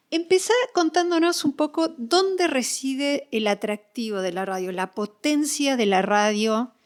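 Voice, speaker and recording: A woman with an Argentine accent from Buenos Aires speaks in a fairly high voice. She hosts an interview, laying out context in prepared but conversational sentences and checking in with the listener as she explains. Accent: Argentine Spanish, Buenos Aires. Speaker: podcast host and interviewer.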